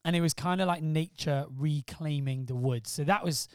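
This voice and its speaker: A man's voice: fairly high pitch, moderate pace, smooth, no echo, studio quality. A middle-aged man from Wales who says he doesn't sound Welsh, chatting as a guest on his wife's podcast, joking and teasing as he tells stories. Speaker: podcast guest, host's husband. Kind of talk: casual chat.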